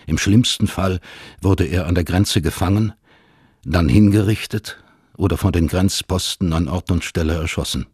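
An actor as a solemn storyteller, narrating a literary radio drama in measured phrases. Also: male voice, sits low, darkish tone, husky, dry recording, clean studio sound. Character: sombre storyteller of a family tale.

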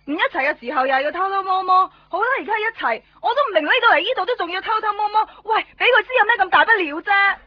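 Fed-up girlfriend, extremely high young voice, whiny and nagging, Hong Kong Cantonese, muffled nineties radio play. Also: expressive, fast talker, hardly any pauses, dry recording. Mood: impatient. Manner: whining, nagging. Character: fed-up, complaining young girlfriend stuck in hiding. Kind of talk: 1990s Cantonese radio drama acting. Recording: muffled 1990s radio drama over music.

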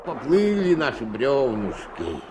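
A man's voice: mid-range voice, huge pitch swings, slow pace, very noisy. A man playing a good-humoured, folksy, teasing log-driving workman in a radio play. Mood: easygoing, explaining.

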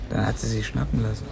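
A man's voice: medium pitch, vocal fry. An actor playing an edgy, wary drug addict at the end of his tether in a radio crime drama, talking colloquially. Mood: cornered, agitated.

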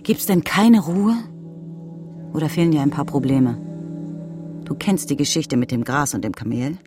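An actress playing a weary, impatient, sharp-tongued woman in a radio crime drama, curt and exasperated. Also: deep voice, normal speed, over music, lightly acted.

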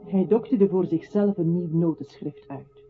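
High-voiced, lyrical male radio narrator. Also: measured pace. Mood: earnest. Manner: lyrical, recitative, articulate. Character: earnest, lyrical male narrator recounting a composer's life. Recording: thin phone-like radio sound, faint music.

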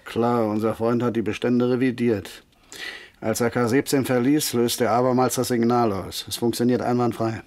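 Junior cop for crime radio play, male actor: medium pitch, wry, dutiful, matter-of-fact. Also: flat delivery, normal speed, darkish tone, lightly acted. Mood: wry, assured.

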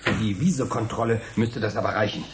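A man playing a decisive, scheming group leader in a radio drama, brisk and practical. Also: lowish voice, noisy background, close to an everyday voice.

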